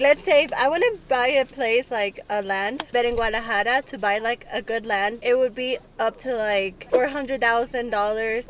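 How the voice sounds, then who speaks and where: A young woman chatting casually to a vlog audience, very informally, with lots of filler "like". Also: fairly high pitch. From Los Angeles, United States. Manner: informal, peppered with "like". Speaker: vlog host.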